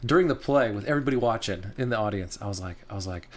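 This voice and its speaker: A man with a smoker's voice that is not deep, chatting casually and laughing a lot. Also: animated, very fast, heavy background noise. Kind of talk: casual chat.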